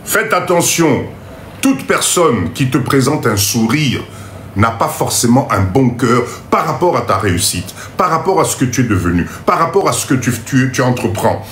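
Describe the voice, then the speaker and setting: A man with a Congolese French accent speaks in a lively, swinging pitch, congested from a cold. He hosts a motivational live stream, urging listeners with emphatic repetition. The room echoes and there is faint noise behind him. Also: moderate pace. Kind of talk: motivational live talk to viewers. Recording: roomy echo, faint background noise.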